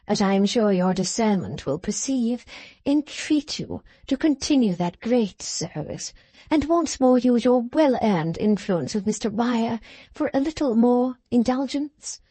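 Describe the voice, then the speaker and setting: A woman reading aloud and voicing a gushing, scheming society wife who pleads slowly and sweetly. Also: fairly high pitch, lively intonation, dark tone, breathy edge, no echo, voice pushed up. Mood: pleading. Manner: slow, pleading, sugary. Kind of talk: audiobook character voice.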